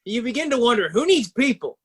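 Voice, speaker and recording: A man's voice: very high-pitched, big pitch swings, fairly quick. A man playing a chatty, wry banking man in a scripted table read.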